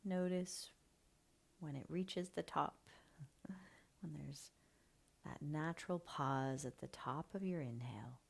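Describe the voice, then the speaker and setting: Slow, soft-spoken woman guiding a meditation. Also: deep voice, gravelly. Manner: slow, soft and soothing, often half-whispered. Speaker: meditation guide. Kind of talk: guided breathing meditation.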